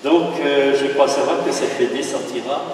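A man's voice: medium pitch, normal speed, a little hiss or hum. A middle-aged man giving a formal speech with a handheld mic at an exhibition opening, with hesitant fillers. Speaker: parish speaker presenting an exhibition. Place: large echoing chapel hall, indoors. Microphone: handheld microphone through a PA, recorded from afar. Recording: echoey hall from far off.

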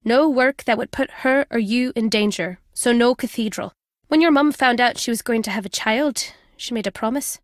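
A woman plays an older British former spy and journalist in a video game. Her voice sits fairly high, in a dry, close recording. She is wry and guarded, teasing yet fond as she speaks. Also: moderate pace, dry recording, close to an everyday voice. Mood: wry, reminiscing. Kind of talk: video game character dialogue.

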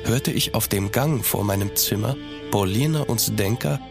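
A man playing an uneasy gentleman traveller, narrating a horror audio drama in a measured, suspenseful way in German. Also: on the low side, vocal fry, music in the background. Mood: watchful.

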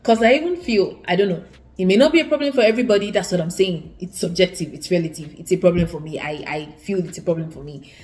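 A woman's voice: lowish voice, fast, a little mellow. A young woman from Nigeria with an Igbo accent, giving earnest, casual advice to camera in a living room and often restarting her sentences. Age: young adult. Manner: earnest, repeats herself, many restarts. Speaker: student vlogger.

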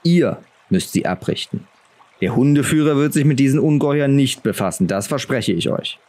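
A man voicing a stern, grave middle-aged lord and father in a fantasy audiobook, measured and commanding. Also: medium pitch, expressive, darkish tone, pitched above the performer's own voice, natural voice.

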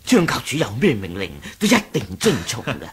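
An actor playing a loyal, deferential senior lieutenant of a martial sect in a Hong Kong Cantonese radio drama, formal and husky. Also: male voice, medium pitch, wildly swooping pitch, normal speed, full character voice. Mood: respectful, hesitant. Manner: formal, respectful. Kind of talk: 1980s radio drama acting.

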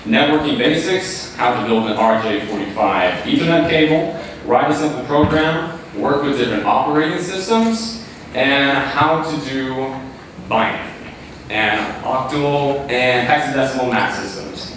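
A teenage American man presents to an audience as a student. His voice rings around a large, very echoey auditorium, picked up from well away from the mic. Clear noise sits behind him. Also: medium pitch, a little slow. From Kentucky, United States. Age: teens, high school student. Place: large auditorium stage, far camera. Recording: echoey hall, far-off mic, heavy noise.

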